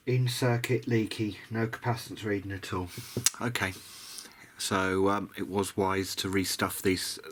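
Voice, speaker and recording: A man's voice: lowish voice, sharp tone, slight background noise. A man giving a casual workbench commentary as a hobbyist repairer, musing and hesitant as he thinks aloud. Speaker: hobbyist radio repairer presenting a restoration.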